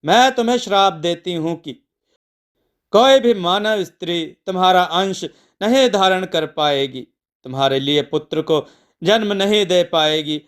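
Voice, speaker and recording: A man's voice: sits high, a little slow, smooth. A man voicing a dying, wrathful female forest guardian spirit who solemnly pronounces a curse in a mythological story. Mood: angry, anguished.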